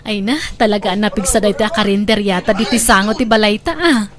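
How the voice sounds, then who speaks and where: An actress playing a warm-hearted single mother in an Ilocano radio drama, expressive and affectionate, with an Ilocano accent. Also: medium pitch, quick pace, natural voice. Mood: cheerful.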